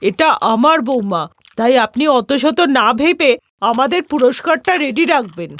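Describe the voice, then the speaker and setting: A woman's voice: high-pitched, expressive, normal speed, a bit bright, no echo, voice pushed down. A woman voicing a scolding, proud older mother-in-law in a cartoon story, stern and challenging. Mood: proud, defiant.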